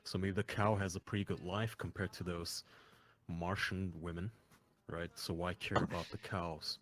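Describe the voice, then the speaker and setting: A man's voice: low voice, level tone, normal speed, fairly bright tone. A man from Turkey questioning and challenging the other speaker in a voice-chat debate. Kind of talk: debating on a voice call. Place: online voice chat.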